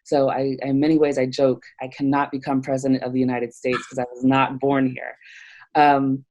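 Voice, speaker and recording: A woman from Nigeria talks things through in an interview, pausing often and restarting her thoughts. Her voice sits very low and sounds mellow and dark. She speaks reflectively rather than with polish. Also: level tone, a little hiss or hum. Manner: thoughtful, hesitant, many fillers and restarts. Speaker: theologian guest.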